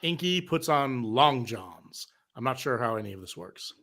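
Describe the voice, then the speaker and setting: A man's voice: medium pitch, expressive, normal speed, pushed and bright. A man reading scripted narration as narrator of a comedic live table read.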